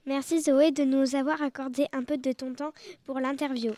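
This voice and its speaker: A woman's voice: high-pitched, level tone, moderate pace, dark tone, no room sound. A young co-host of a children's radio show who reads her lines carefully and asks the interview questions. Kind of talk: reading a script, asking interview questions.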